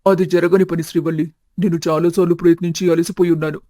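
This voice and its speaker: A man voicing a sincere, gentle young husband in an animated family story, earnest and subdued. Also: on the high side, quick pace, no room sound, close to an everyday voice. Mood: troubled. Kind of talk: animated family drama dialogue.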